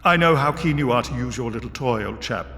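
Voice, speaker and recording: A man voicing an eccentric, courteous British adventurer in an audiobook, breezy, wry and gently persuasive. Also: medium pitch, lively intonation, a little fast, big-room sound, voice pushed up. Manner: breezy, polite, wry British turns of phrase. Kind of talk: dramatised audiobook reading.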